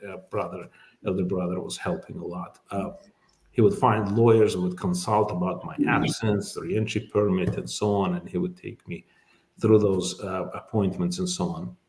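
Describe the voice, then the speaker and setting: A middle-aged man from Azerbaijan, whose first language is Azerbaijani, talks slowly through his memories, with lots of "um" and self-corrections. His voice sits fairly low. The sound carries a noticeable echo from the room. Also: fairly even intonation, a little mellow. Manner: thoughtful, many fillers and restarts. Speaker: immigration lawyer, guest. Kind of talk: casual interview.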